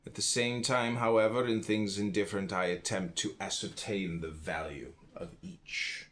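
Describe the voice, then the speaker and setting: Low-voiced man reading philosophy. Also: steady tone, normal speed, a bit bright. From United States. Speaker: audiobook reader. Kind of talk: reading an old philosophy book aloud.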